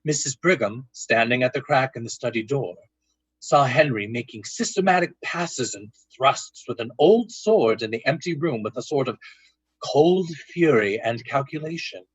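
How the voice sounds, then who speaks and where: A man serves as narrator of a scripted old-time radio drama. His mid-pitched voice is husky and breathy, delivered dramatically in a dry recording. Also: lively intonation, normal speed, no room sound, rough recording.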